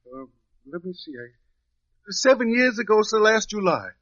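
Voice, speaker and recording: A man's voice: high-pitched, very animated, normal speed, a bit bright, dry recording, exaggerated voice. An actor in an old-time radio drama playing a humble, nervous country man, hesitant, stammering and deferential. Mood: hesitant, eager. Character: humble, nervous simple country man, polite male prisoner. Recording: muffled vintage radio recording.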